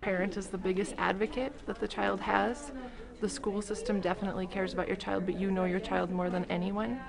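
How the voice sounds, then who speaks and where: A woman's voice: medium pitch, little pitch movement, fairly quick, vocal fry, a crowd in the background. A woman speaking as an advocate in an interview soundbite. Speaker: parent advocacy group member.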